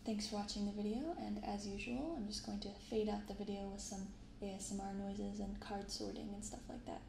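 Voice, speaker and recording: A young adult woman chatting casually in a soft-spoken ASMR style, unhurried and a little hesitant. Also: mid-range voice, fairly even intonation, vocal fry. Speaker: ASMR card-opening vlogger. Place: small echoey room indoors, not soundproofed. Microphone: binaural microphone, close. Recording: echoey untreated room with rain noise. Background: rain, room ambience.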